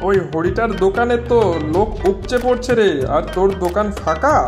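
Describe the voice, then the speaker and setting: A man's voice: high voice, fairly quick, a little mellow, faint music behind, lightly acted. A man voicing a sly, meddling elder-brother figure who gives crooked advice in a prodding, persuasive way in a cartoon. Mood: prying. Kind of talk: moral-story cartoon voice acting.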